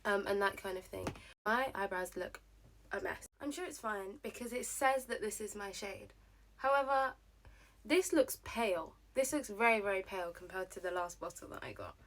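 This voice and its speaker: A young woman chatting casually through her get-ready-with-me routine, rambling with lots of fillers, her voice slightly hoarse. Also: medium pitch, moderate pace. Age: young adult. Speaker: vlogger.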